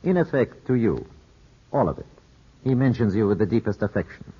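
An actor playing a courteous, evasive Italian lawyer in a formal, measured 1950s radio drama style. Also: male voice, fairly low pitch, big pitch swings, slightly rough, heavily acted. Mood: smooth, reassuring. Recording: muffled, scratchy 1950s radio broadcast.

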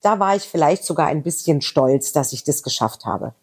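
A woman's voice: low-pitched, expressive, normal speed, breathy edge. A middle-aged woman from Berlin with a slight Berlin accent, a voice actress telling anecdotes in a chatty, rambling interview. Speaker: voice actress guest. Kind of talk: casual interview chat.

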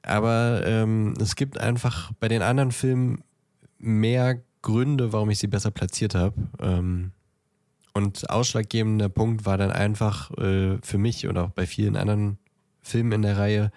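A man chatting casually as a podcast co-host, thinking aloud in a halting way as he ranks things. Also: lowish voice, steady tone, a little mellow, no echo, studio quality. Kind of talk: casual chat, ranking films.

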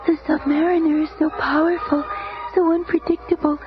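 A woman's voice: high-pitched, flat delivery, moderate pace, close to an everyday voice. An actress playing a sweet, fretful young girlfriend in a radio drama, pleading and dependent. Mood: worried, pleading. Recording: muffled old radio recording with music.

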